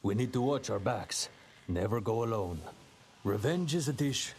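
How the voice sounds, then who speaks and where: An actor playing a grave, cautious veteran monster hunter in a video game, measured and admonishing. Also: male voice, mid-range voice, expressive, a little mellow, slight background noise, close to an everyday voice. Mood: stern warning. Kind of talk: video game cutscene acting.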